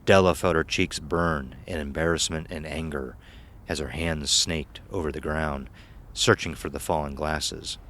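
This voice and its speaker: A man's voice: very deep voice, fairly even intonation, measured pace, fairly bright tone, a little hiss or hum. A man reading a scripted horror story as narrator.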